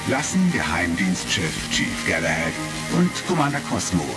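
A man's voice: sits low, sing-song, moderate pace. A man delivering scripted, dramatic narration for a retro audio drama. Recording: vintage record sound, loud music behind. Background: loud music.

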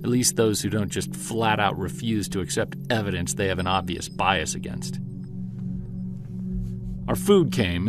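An actor playing a driven, weary Bigfoot investigator in an audio drama, earnest and conversational. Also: male voice, deep voice, lightly acted. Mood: reflective. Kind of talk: audio drama, first-person narration and dialogue.

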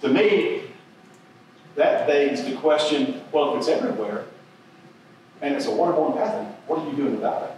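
A man's voice: on the high side, expressive, normal speed, pauses often, darkish tone, slight background noise. Older man lecturing in an echoey hall. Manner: rambling, repeats words, chuckles at own stories. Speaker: seminar presenter. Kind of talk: conference lecture. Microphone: podium microphone. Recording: echoey hall, heard from a distance.

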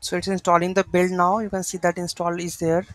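A man presenting a software tutorial, thinking aloud haltingly as he walks through code on screen. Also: sits high, completely flat delivery. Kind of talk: unscripted screen-share coding walkthrough. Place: voice-over to a screen recording.

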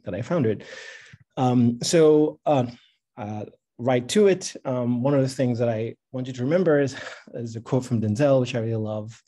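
A man's voice: mid-range voice, mellow, husky. A middle-aged man from Tanzania speaking informally and encouragingly in a workshop talk, often repeating phrases for emphasis. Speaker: speaker, founder of a science advocacy institute. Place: indoor room with bookshelves, on a video call.

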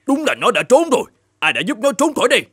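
A man's voice: very high voice, wildly swooping pitch, fast talker, pushed and bright, slightly rough, dry recording, studio quality, full character voice. An actor playing a hot-tempered, domineering middle-aged innkeeper and vengeful father in a cartoon folk tale, blustering and threatening. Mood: furious, threatening.

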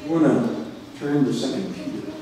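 A man preaching a Bible sermon in a halting, hesitant way, often pausing as he works through his notes and quotations. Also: on the high side, mellow. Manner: halting, hesitant delivery with long pauses. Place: large reverberant church room. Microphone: distant microphone. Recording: echoey hall from far off, noisy.

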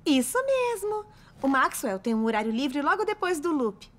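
A caring motorcycle mother in a Brazilian cartoon. Her voice is high with lively swings in pitch. She sounds gentle and perceptive. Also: moderate pace. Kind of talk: animated children's cartoon dubbing. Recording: music behind the voice.

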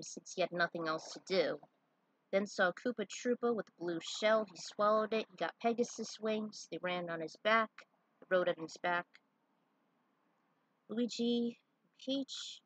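A woman with a husky voice narrating a story and dropping in casual asides. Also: medium pitch, fairly bright tone, no room sound, low-quality recording. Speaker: fan fiction writer reading their own story.

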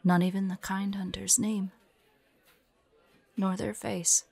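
Woman playing a slow, breathy, haunted young huntress. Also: mid-range voice, level tone, warm tone, exaggerated voice. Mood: wistful, subdued. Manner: slow, halting, haunted storytelling. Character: forlorn young demon hunter woman, grieving and vengeful. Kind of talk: dramatic audio roleplay monologue.

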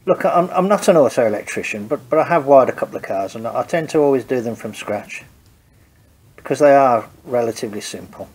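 Hobby restorer, man, mid-pitched, nasal from a cold, muttering through his work near a handheld camera in a garage. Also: animated, a little fast, a little mellow, slightly rough. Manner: hesitant, thinking aloud while working. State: congested from a cold. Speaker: home car restorer. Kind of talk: casual workshop walkthrough. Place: home garage workshop.

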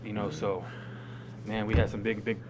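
A man in his twenties, a college athlete, answering postgame questions in a halting, understated way with repeated words. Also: fairly low pitch. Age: 20s. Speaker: college basketball player. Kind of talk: postgame press conference answers. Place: indoor press conference room. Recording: noisy room with steady hum.

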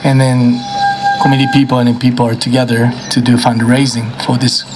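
A man's voice: mid-range voice, flat delivery, fairly quick, rough recording. A man with a Japanese accent, a musician telling a loose, rambling story from an outdoor stage into a stand mic. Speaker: musician speaking at a pilgrimage. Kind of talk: informal speech from a stage. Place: outdoor stage with mountains behind. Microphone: stand mic, far camera. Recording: live outdoor PA with music behind.

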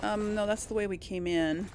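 A woman giving casual let's play commentary and reading game text aloud, with a creaky voice. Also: mid-range voice, a little slow, darkish tone, slight background noise. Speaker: gaming host. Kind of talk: reads game text and dialogue choices aloud.

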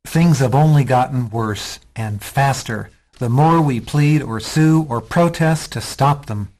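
A man's voice: medium pitch, a little slow, a little mellow, lightly acted. A man playing an earnest, defiant activist rebel in a radio drama, arguing insistently.

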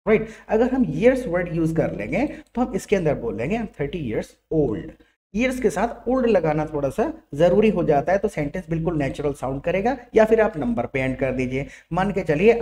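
A middle-aged Indian man teaches through a headset mic in a small indoor room. His tone is mellow and unhurried. He explains step by step and keeps checking that his listeners follow. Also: fairly high pitch. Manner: explains patiently, often checks in with "right?". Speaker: English teacher. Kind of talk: explanatory lesson.

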